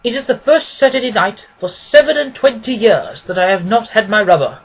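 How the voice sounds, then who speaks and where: A man's voice: high-pitched, animated, brisk, husky, voice pushed up, exaggerated voice, low-quality recording. A man voicing a solemn, respectable bank director in a storybook, mournful and stiffly formal. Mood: stiff, deferential.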